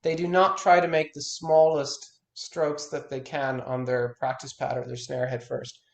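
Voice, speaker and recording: A man's voice: mid-range voice, little pitch movement, measured pace, creaky, roomy sound. A man in his thirties, a guitar teacher, talking frankly in a one-on-one consultation and restarting his words as he stresses his points. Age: 30s.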